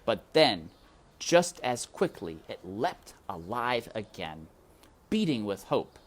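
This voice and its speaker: A narrator reading a children's story aloud with deliberate, dramatic phrasing. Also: mid-range voice, big pitch swings. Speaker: storybook reader. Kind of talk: expressive scripted narration, children's read-aloud.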